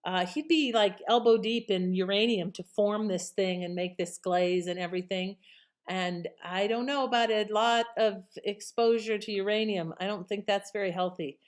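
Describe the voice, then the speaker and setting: A woman's voice: medium pitch, moderate pace. A woman giving casual, conversational commentary as a host. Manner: conversational, informal. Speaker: channel host.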